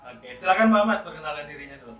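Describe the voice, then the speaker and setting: A man's voice: a little high, lively intonation, very fast, a bit bright. A man hosting a casual podcast interview, chatty and often checking for agreement with short tag questions. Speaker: host of an interview channel. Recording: roomy echo, distant mic, faint music.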